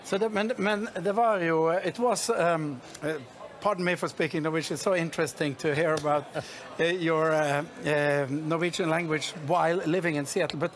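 A middle-aged man from Norway interviewing guests in a large expo hall, playful but halting with many fillers. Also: fairly high pitch, fairly even intonation. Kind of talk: casual interview.

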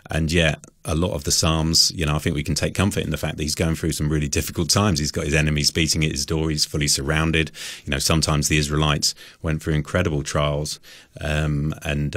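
A man's voice: very deep voice, level tone, fairly quick, few pauses, fairly bright tone, no echo, clean studio sound. A middle-aged man being interviewed as a guest, chatting casually and rephrasing his thoughts as he goes. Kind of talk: casual radio interview chat.

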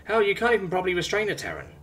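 A defiant young woman full of sarcasm, played by a male narrator. She talks fast and high, relaxed and mocking even while tied up. Soft music sits behind her. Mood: cocky. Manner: sarcastic, higher, quick.

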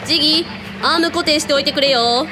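A woman acts out a radio drama leader with a high voice and a brisk, commanding manner. She is casual and a little teasing, with music playing behind her. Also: lightly acted. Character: commanding, teasing female hotel owner with psychic powers.

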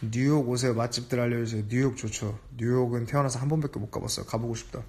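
A young man hosting a casual phone livestream from a dim room at night, reading viewer comments aloud and chatting back in a relaxed way. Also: mid-range voice, very fast. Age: young adult. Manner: relaxed, chatty, switching between English and Korean. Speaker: livestream host. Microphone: phone held close at arm's length.

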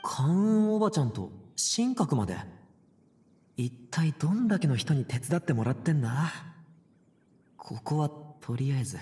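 An actor playing an earnest, excitable teenage boy in a video game, with casual and expressive speech. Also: on the high side, normal speed. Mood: baffled, inward. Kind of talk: Japanese video game voice acting.

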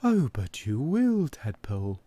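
A man's voice: mid-range voice, wildly swooping pitch, measured pace, dark tone, slightly rough, no room sound, voice pushed up, exaggerated voice. A man voicing a caring, encouraging mother frog in a children's storybook, in a motherly manner.

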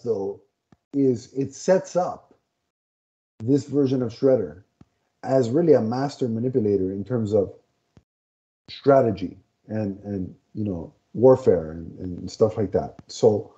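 A man in a home room rambles through a comic review, stopping often to hunt for the right word. His voice is very dark and mellow. It is captured through a headset on a dull, lo-fi video call. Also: mid-range voice. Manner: rambling, often searching for words. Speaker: podcast co-host. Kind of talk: casual comic review chat.

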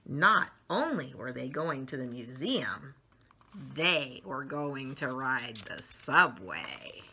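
A middle-aged woman reading a story aloud for children, expressively and with drawn-out emphasis on words. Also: a bass voice, big pitch swings, pushed and bright, breathy edge. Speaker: children's story channel host reading picture books aloud. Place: small furnished room at home, indoors.

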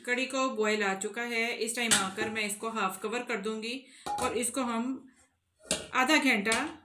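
A woman giving calm, step-by-step cooking instructions in a home kitchen, talking directly to her viewers while she cooks. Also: on the high side, unhurried, noisy background. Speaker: cooking channel host. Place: home kitchen, off camera over a pot.

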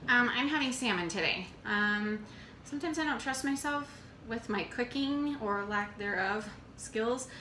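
A woman in her thirties casually showing her meal prep at home, talking in an unhurried way with many fillers. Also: medium pitch, sharp tone. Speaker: fitness coach vlogger. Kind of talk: casual how-to vlog. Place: home kitchen, indoors. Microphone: camera on the counter. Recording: echoey kitchen with room noise.

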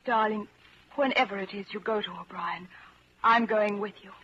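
A woman's voice: mid-range voice, normal speed, fairly bright tone, slightly rough. An actress playing a defiant, devoted young woman rebel, intense and insistent, in 1940s radio drama style. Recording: muffled, hissy 1940s radio broadcast.

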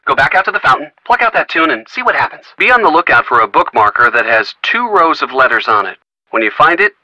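A man's voice: fairly high pitch, bright, forceful tone, no echo, heavily acted, rough recording. An actor playing a friendly, upbeat male helper in a video game, giving chummy step-by-step hints. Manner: breezy, instructive, chummy. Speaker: in-game hint giver. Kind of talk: studio video game voice acting.

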